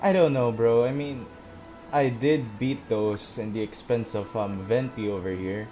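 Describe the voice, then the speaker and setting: A man's voice: mid-range voice, fairly even intonation, measured pace, low-quality recording. A man giving casual gameplay commentary, with offhand, muttered reactions. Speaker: gaming video host. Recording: loud game audio behind the voice. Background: video game music and dialogue.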